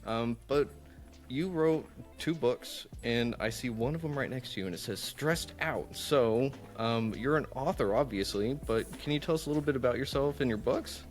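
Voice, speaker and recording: A man's voice: medium pitch, moderate pace, soft background music. A man hosting a mental health podcast, introducing his guest and backing her up with frequent "mm-hmm"s. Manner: friendly, lots of "mm-hmm" backchannels. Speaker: podcast host.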